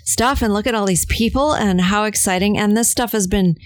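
A woman's voice: mid-range voice, fairly quick, dry recording. A woman from Canada talking casually as a podcast guest, chatty and self-deprecating, laughing easily. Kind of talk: casual chat.

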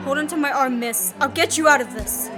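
A young woman playing a gallant, commanding Canadian gentleman in a radio play. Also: high voice, fairly quick, over music, crowd noise, close to an everyday voice. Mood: urgent, protective. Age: young adult.

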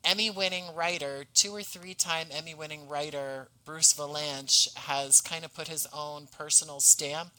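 A man speaking as an interview guest, explaining his creative work in measured, rather formal and articulate phrasing. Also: a little high, steady tone, sharp tone, low-quality recording. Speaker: podcast guest, audio drama writer.

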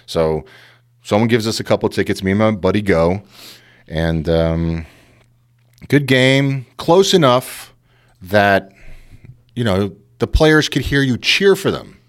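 Animated low-voiced New man. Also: takes it slowly, pauses often. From Queens, New York. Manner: animated, acts out voices, lots of "you know". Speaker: guest. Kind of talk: casual storytelling.

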